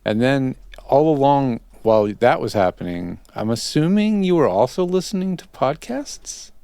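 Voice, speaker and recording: A man's voice: mid-range voice, very animated, moderate pace, vocal fry, dark tone, smooth, dry recording. A man hosting a podcast, interviewing in a casual, conversational style.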